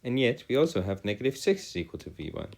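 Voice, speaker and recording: A man with a fairly deep voice tutors through a worked problem. His intonation rises and falls widely from phrase to phrase. He speaks in formal, elaborate sentences and often stops to correct himself. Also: big pitch swings, fast talker, creaky, darkish tone. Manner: formal, wordy phrasing with frequent self-correction. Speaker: math tutor. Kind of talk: video lesson working through calculus problems aloud.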